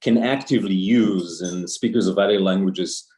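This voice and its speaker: A man's voice: low voice, moderate pace, darkish tone. A man who is a native Hebrew speaker, thinking aloud as he puts a hedged question in an academic discussion. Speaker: audience member asking a question.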